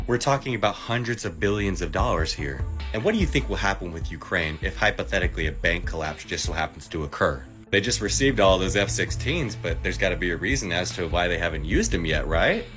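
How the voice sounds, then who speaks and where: A deep, low-pitched man talking straight to the camera in an insistent, sarcastic rant. He piles up rhetorical questions and keeps pressing his point. A faint bed of music sits under his voice. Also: fairly bright tone. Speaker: TikTok commentator. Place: clip played within a compilation.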